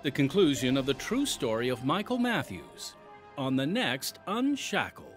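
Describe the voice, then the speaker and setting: Male host-narrator, mid-pitched, earnest and direct to the listener, scripted delivery over a music bed. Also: very animated, moderate pace. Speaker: podcast host. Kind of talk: scripted radio announcing and narration. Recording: dry studio with music bed.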